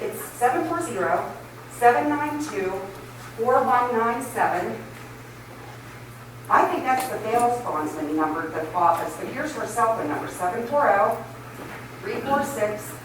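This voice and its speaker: A woman's voice: on the high side, lively intonation, measured pace, long pauses. A woman resident speaking at public comment, rambling and scattered, apologizing as she goes. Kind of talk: public comment at a council meeting. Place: council chamber. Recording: echoey chamber, far from mic, noisy.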